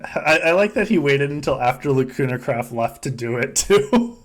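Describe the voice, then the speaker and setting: A man's voice: medium pitch, animated, moderate pace. A man hosting a podcast, giving casual, joking play-by-play of a game among friends and swearing freely. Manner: joking, foul-mouthed. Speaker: podcast host running a simulated game.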